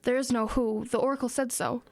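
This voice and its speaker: Higher-pitched earnest teenage girl woman acting. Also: flat delivery, brisk, vocal fry, darkish tone, no echo. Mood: analytical, determined. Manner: matter-of-fact, reasoning aloud. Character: earnest, analytical teenage schoolgirl amateur sleuth. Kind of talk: audio drama acting.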